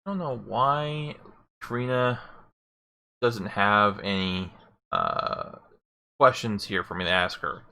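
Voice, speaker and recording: Gravelly male game streamer, casual and forceful-toned. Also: lowish voice, sing-song, takes it slowly, long pauses. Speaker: streamer demonstrating game voice packs. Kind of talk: casual gameplay commentary.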